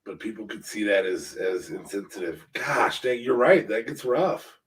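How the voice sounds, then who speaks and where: A man hosting a podcast, chatting casually in a halting, playful way. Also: lowish voice. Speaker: podcast host. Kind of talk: casual wrestling fantasy booking chat. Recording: voice distant from the mic.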